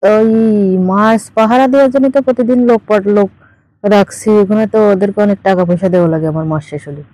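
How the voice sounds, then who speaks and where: Woman acting a quick-talking, mellow Bangladeshi aunt. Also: mid-range voice, no echo, close to an everyday voice, low-quality recording. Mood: matter-of-fact. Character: teasing, demanding older aunt who owns a fish farm.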